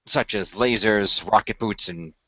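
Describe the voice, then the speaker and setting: A man performing and narrating a semi-improvised radio play in a measured, explanatory way with formal phrasing. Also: lowish voice, sharp tone, no room sound. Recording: dry, dull lo-fi microphone.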